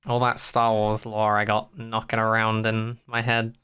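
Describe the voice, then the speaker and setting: A man's voice: lowish voice, completely flat delivery, a little slow, pushed and bright, smooth. A man co-hosting a podcast, chatting in an informal, dryly flippant way. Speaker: podcast co-host. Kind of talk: casual chat about a TV series.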